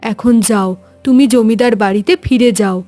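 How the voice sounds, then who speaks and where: Wise magical owl, played by a woman: high female voice, gentle, protective, reassuring, music underneath. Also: steady tone, normal speed, lightly acted. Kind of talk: Bengali animated story voice acting.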